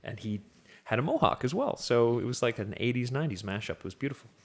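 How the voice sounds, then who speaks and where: A man's voice: mid-range voice, expressive, fast talker. A man co-hosting a podcast, casually discussing films, jumping in with questions and fussing over small details. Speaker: podcast co-host.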